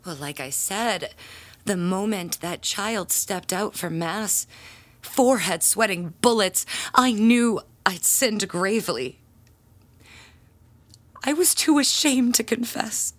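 A woman's voice: medium pitch, animated. Pompous, melodramatic church lady. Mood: guilty, dramatic. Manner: pompous, theatrical, halting, wordy. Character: proud, jealous, melodramatic parishioner, a church bell ringer. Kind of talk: audio drama acting.

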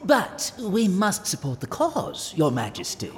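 An actor playing an officious, obsequious royal steward, easily flustered, in a medieval audio drama. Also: male voice, wildly swooping pitch, a little slow, full character voice. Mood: fawning, flustered. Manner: obsequious to the king, flustered, shrill. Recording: long hall reverb, faint ambient noise.

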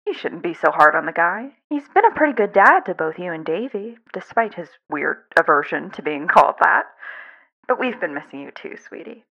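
A woman's voice: on the low side, very animated, normal speed, creaky, full character voice. A woman playing a doting, chatty, affectionate mother in a fan fiction audio drama, gushing and rambling. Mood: gentle, wistful. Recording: dull lo-fi recording.

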